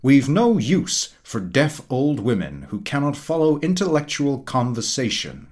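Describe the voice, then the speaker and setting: Man performing pompous American male diner, storybook dialogue, declamatory, opinionated, very animated intonation. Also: mid-range voice, measured pace. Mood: dismissive. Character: pompous, forceful American man lecturing fellow dinner guests.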